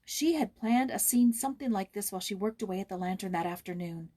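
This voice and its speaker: Female audiobook reader, slightly husky tone, expressive and theatrical storytelling delivery. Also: mid-range voice, fast talker. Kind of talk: dramatic scripted storybook narration.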